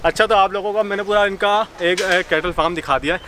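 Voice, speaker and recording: High-voiced young man vlogging outdoors. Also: steady tone, fast, sharp tone. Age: young adult. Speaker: vlogger, host. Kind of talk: casual vlog chat. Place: outdoors in an open cattle farm yard. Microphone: camera at arm's length or a few steps away. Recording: noisy open-air farm ambience.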